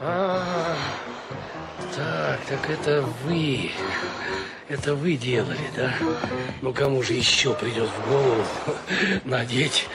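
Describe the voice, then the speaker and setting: An actor playing a strict, exasperated ship's officer in a radio play, with a commanding, sardonic manner. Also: male voice, medium pitch, slow, gravelly, long pauses, over loud music. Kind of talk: Russian radio play acting.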